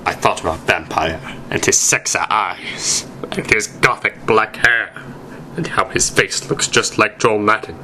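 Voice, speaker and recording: A man narrates a story aloud in an amateur dramatic style. His delivery is slow and deliberate, with a bright, forceful tone. A little noise can be heard behind him. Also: on the low side. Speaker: narrator reading fan fiction aloud.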